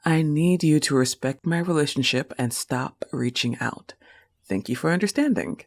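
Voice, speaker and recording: A woman's voice: low voice, lively intonation, moderate pace. A woman voicing a protective, affectionate young goth wolf-girl girlfriend in an intimate audio roleplay, coaxing and reassuring the listener with a firm manner. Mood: coaching, firm.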